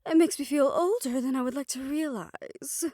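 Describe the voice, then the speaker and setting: A woman playing a stern, protective female doctor who is softly fond of her listener, speaking in a measured, formal, faintly haughty way in an audio roleplay. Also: high voice, studio quality, close to an everyday voice. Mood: softened, teasing. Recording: roomy echo.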